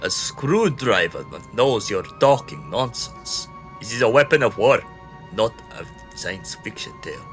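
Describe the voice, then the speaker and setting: A man's voice: on the low side, big pitch swings, a little slow, faint music behind. A man acting a merciless German wartime general in a fan audio drama, commanding and impatient. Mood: stern impatience. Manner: menacing, commanding, clipped impatience.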